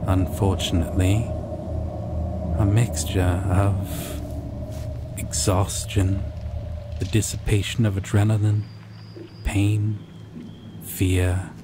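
A man narrating a scripted second-person story in an ASMR roleplay, deliberately, with dramatic pauses. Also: sits low. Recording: loud steady background noise.

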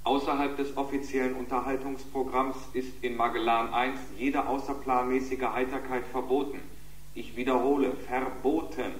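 Man as a flat, echoing, officious ship announcer. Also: medium pitch, moderate pace, close to an everyday voice. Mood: stern, officious. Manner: bureaucratic, repetitive, commanding. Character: stern officious ship announcer forbidding all merriment. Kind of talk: public address announcement in a radio drama. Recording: distant echoing announcement with hum.

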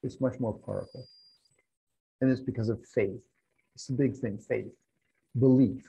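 Gravelly older man teaching a class. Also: mid-range voice, fairly even intonation, moderate pace, mellow, poor audio. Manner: explanatory, restarts and asides, hands gesturing. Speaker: Buddhist teacher. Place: room at home with wall hangings. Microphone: lapel mic.

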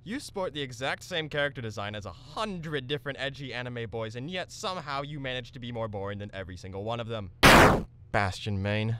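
A man's voice: mid-range voice, fairly quick, heavy background noise, lightly acted. A man voicing an arrogant, hot-tempered teenage athlete, pompous and melodramatic, in a comedic anime parody. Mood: scornful. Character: brooding, arrogant, hot-tempered teenage male volleyball player. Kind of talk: melodramatic comedic fan-dub acting. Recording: music under the voice.

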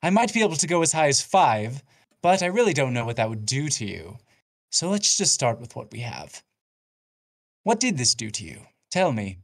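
Man playing a measured, sadistic scholar count. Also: mid-range voice, big pitch swings. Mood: coolly curious. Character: calm, scholarly, sadistic male torturer count.

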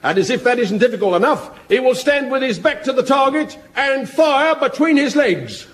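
A grandly pompous stage compere. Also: male voice, very high voice, expressive, moderate pace, noisy background. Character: theatrical variety-show compere.

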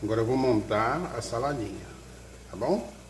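A man's voice: mid-range voice, lively intonation, moderate pace, slight background noise. A man cooking in his home kitchen, walking through each step with homely, diminutive-laden talk into a camera above the counter. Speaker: home cook, husband of the channel host. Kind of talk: casual cooking vlog narration. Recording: echoey kitchen with loud clatter of dishes.

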